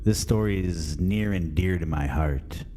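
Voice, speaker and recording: Low-pitched man hosting a horror show. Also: measured pace, dark tone, a little hiss or hum. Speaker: host and storyteller. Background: intro music.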